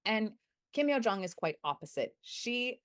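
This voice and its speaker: A young adult woman answering interview questions as a researcher, thoughtful and measured, sometimes correcting herself. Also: mid-range voice, very animated, fairly bright tone. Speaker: guest researcher.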